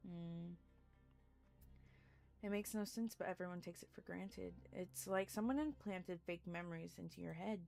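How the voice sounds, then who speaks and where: A woman's voice: fairly low pitch, moderate pace, vocal fry, darkish tone. A woman game streamer giving casual, slangy playthrough commentary with playful exclamations. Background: game music.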